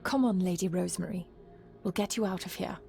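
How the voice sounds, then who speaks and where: A woman's voice: fairly low pitch, fairly quick, creaky, warm tone, faint music behind. An actress playing a practical, kindly young woman in a video game, coaxing and plainspoken. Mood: gentle. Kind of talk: dramatic video game acting.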